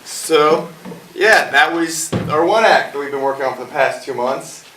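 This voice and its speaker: A man's voice: on the high side, normal speed, fairly bright tone. A man in his twenties, a playwright and director, speaking informally and jokily at an audience Q&A. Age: 20s. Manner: rambling, jokey. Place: indoor performance space, recorded from afar. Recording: echoey room, distant mic, noisy.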